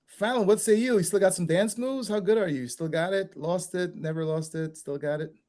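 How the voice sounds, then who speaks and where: A man hosting a casual chat, upbeat and firing off quick follow-up questions. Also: high-pitched, a little mellow. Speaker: host.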